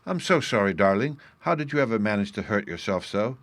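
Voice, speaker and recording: A man reading aloud, voicing a bright, earnest little fairy girl in a storybook. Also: on the low side, animated, a little fast, no echo. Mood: lively.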